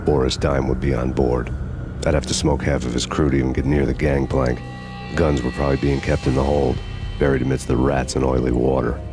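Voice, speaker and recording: An actor playing a cynical, hardboiled ex-cop in a game, speaking deadpan with dry sarcastic wit. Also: male voice, rumbling low, a little fast. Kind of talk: noir game narration and dialogue. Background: music.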